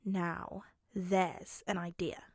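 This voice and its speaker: A woman's voice: fairly low pitch, little pitch movement, very slow, darkish tone, no echo, clean studio sound. A woman voicing a secretive teenage boy with a restrained, subdued delivery in an audiobook story. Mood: quiet scheming. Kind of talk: audiobook character acting.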